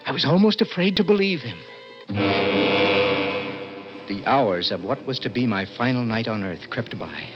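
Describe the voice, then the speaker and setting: Actor as an anxious condemned prisoner, muffled old radio. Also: male voice, medium pitch, very animated, moderate pace, long pauses, close to an everyday voice. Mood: haunted, tense. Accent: American. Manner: tense, stammering under pressure. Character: anxious condemned male prisoner narrating his escape. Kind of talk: 1960s radio drama acting. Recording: muffled old radio, loud music behind.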